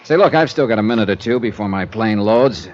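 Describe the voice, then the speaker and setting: An actor playing a confident insurance investigator, breezy, wry and matter-of-fact, in 1950s radio drama style. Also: male voice, on the low side, animated, a little fast, slight background noise, close to an everyday voice. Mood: businesslike. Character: brisk, confident male freelance insurance investigator. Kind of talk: 1950s radio drama acting and first-person narration. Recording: muffled old radio recording with music.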